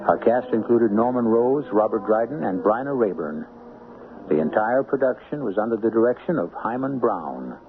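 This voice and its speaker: A man's voice: lowish voice, lively intonation, moderate pace. A man reading scripted credits and sponsor notices as a radio announcer. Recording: muffled old radio, faint noise.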